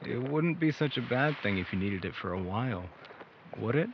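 Tender young man, played by male actor, earnest, hesitant, animated melody, faint background ambience. Audio drama. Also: medium pitch, normal speed, noisy background, lightly acted. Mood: hopeful, nervous. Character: tender, vulnerable young male artist hoping for love. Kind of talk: naturalistic audio drama acting. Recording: dull sound, faint ambience.

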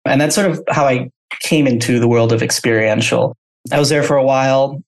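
A man's voice: medium pitch, fairly even intonation, a little fast, vocal fry. A man from Singapore, a guest on a podcast, telling his story casually and hedging with "you know" and "sort of". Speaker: guest, agency founder.

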